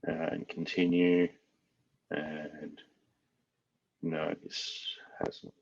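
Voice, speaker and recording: A man's voice: very deep voice, completely flat delivery, extremely slow, creaky, darkish tone, lo-fi sound. A man from Australia casually walking through a device setup tutorial, thinking aloud with frequent fillers. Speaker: IT trainer. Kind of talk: screen-share tech tutorial.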